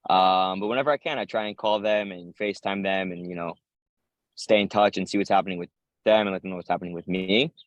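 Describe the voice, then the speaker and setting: A man's voice: sits low, steady tone, fast talker, no echo, highs cut off. A man in his twenties with an American accent, answering interview questions in casual talk as a soldier. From United States. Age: 20s. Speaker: interviewee, infantry paratrooper soldier.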